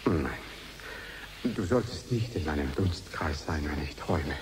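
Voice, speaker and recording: A man's voice: a bass voice, lively intonation, exaggerated voice. An actor playing a reflective, sentimental and fatalistic man in a radio play. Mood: melancholy. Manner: reflective, confiding, in short measured phrases. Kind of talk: 1980s German radio play acting. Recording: slightly hissy archive radio recording.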